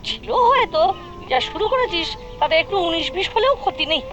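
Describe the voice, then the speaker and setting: A woman's voice: very high voice, animated, a little fast, fairly bright tone, highs cut off. A woman voicing a cheeky young boy in an exaggerated cartoon style. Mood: teasing. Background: faint music.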